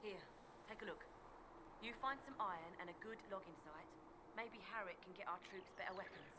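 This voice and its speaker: Actress as a brisk quartermaster amid loud noise. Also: female voice, medium pitch, lightly acted. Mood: brisk, businesslike. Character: brisk, practical woman army quartermaster. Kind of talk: video game dialogue acting. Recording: loud ambient noise behind game dialogue.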